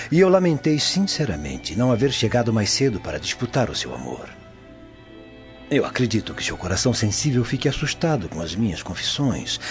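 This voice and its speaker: An actor with a Brazilian accent playing a lovesick Roman prefect in a radio soap, declaiming floridly and with passion. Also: male voice, medium pitch, natural voice. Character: lovesick, melodramatic Roman prefect pleading his love. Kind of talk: Portuguese-language radio soap acting. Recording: dull lo-fi audio under music.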